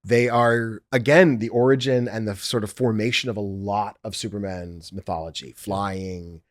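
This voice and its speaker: A man's voice: lowish voice, steady tone, moderate pace, no echo, clean studio sound. A man talking casually and enthusiastically in a discussion, stressing his points with repeated intensifiers. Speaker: audiobook producer in a discussion. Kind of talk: casual chat about favorite comics and films.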